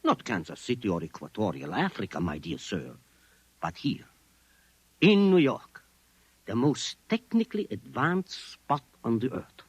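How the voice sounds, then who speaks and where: A formal, earnest man with a fixed conviction, in 1950s radio drama style. His pitch swings dramatically as he stresses his points with pedantic care. The voice is slightly rough and recorded dry and close. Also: sing-song, unhurried, no room sound, exaggerated voice. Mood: confident, insistent. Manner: formal, pedantic, emphatic phrasing. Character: earnest, precise, formal man convinced Martians live among us.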